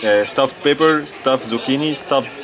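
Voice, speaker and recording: A man from Egypt, a tour guide who explains local food and places to visitors in a friendly, halting way. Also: medium pitch, music in the background, rough recording. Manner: friendly, hesitant explaining with fillers. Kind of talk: explaining to tourists.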